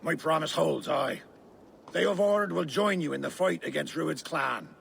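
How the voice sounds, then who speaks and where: Gravelly, bitter Saxon village reeve. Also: male voice, on the high side, measured pace, a bit bright, slight background noise, exaggerated voice. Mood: firm, resolute. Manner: gruff, accusatory, formal archaic speech.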